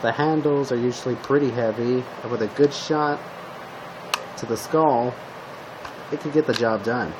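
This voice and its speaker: A man playing a zombie apocalypse survivor, talking casually and haltingly as he gives matter-of-fact survival advice in an improvised roleplay. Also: medium pitch, natural voice. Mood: instructive, cautioning. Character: male zombie apocalypse survivor giving tips to a guest. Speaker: roleplay video host. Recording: steady background hum, noisy home recording.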